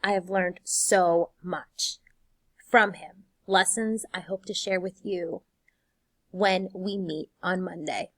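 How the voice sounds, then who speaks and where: A woman in her thirties, a teacher whose first language is Spanish, speaks to her incoming class in a slow, measured way. Her tone is earnest and encouraging. The recording is dry and close, with no room sound. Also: lowish voice, fairly even intonation, fairly bright tone, no echo. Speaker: high school Spanish teacher. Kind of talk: scripted welcome message to students.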